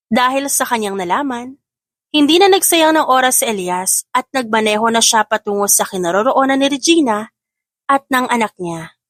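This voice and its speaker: Highish-voiced woman narrating a romance. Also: fairly bright tone, no echo. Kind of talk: scripted romance story narration.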